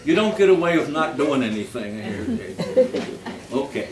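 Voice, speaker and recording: Slow-talking man hosting in an echoey hall. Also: a little high, sing-song, recorded from a distance. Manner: halting, repeats words, jokey. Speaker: host of a live holiday readers theater. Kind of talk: informal live stage presentation with comic readings. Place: live before an audience in an echoey room. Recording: echoey, noisy hall recorded from afar.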